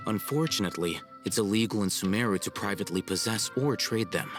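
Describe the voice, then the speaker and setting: A man voicing a cool, haughty young scholar in a video game, formal and measured. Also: lowish voice, lightly acted. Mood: cool, explanatory. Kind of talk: video game cutscene acting. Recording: music behind the voice.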